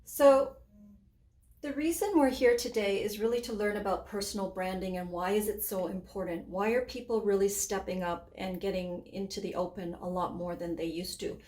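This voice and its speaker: A woman's voice: medium pitch, steady tone, normal speed, a little mellow, reverberant. A woman in her forties, raised between Hong Kong and Canada, giving a conversational webinar presentation with frequent fillers and restarts. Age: 40s.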